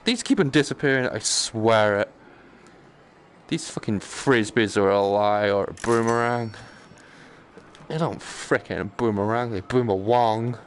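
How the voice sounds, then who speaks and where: A man's voice: fairly low pitch, measured pace. A man chatting casually on a live game stream, joking in a slangy, sweary way. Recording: clear background noise.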